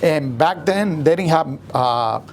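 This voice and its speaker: Halting musician. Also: male voice, on the high side, lively intonation, a little hiss or hum. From Puerto Rico. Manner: halting, many fillers. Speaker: guest musician. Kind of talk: interview storytelling.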